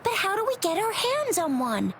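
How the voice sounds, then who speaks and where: A bubbly girl from a video game. The voice is extremely high and bounces around in informal exclamations, over a faint bed of ambience. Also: wildly swooping pitch, moderate pace, a bit bright, a little hiss or hum, full character voice. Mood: puzzled, animated. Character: bubbly, excitable teenage girl, openly impressed.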